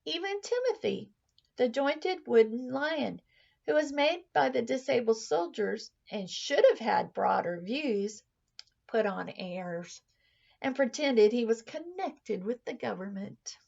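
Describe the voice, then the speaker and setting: A woman reading a children's story aloud like a teacher, with expressive, deliberate storytelling in a slightly muffled recording. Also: fairly high pitch, gravelly. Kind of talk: scripted children's story narration. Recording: slightly dull, muffled sound.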